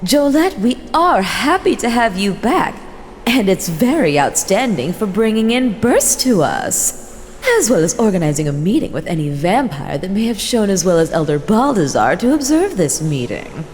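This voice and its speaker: An imperious vampire matriarch played by a woman addresses her clan with formal, haughty authority. Her pitch swings expressively, and her words ring through a long hall reverb over faint noise. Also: sing-song, moderate pace, a little mellow, close to an everyday voice. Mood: formal, measured. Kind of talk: scripted fantasy role-play voice acting.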